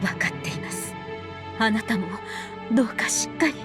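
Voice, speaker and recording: Woman doing a polite dutiful anime game wife, composed n formal, quick higher voice, music under it. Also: lively intonation, natural voice. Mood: restrained, formal. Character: dutiful, dignified middle-aged wife, polite and resolute. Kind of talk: Japanese visual novel voice acting. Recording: music behind the voice.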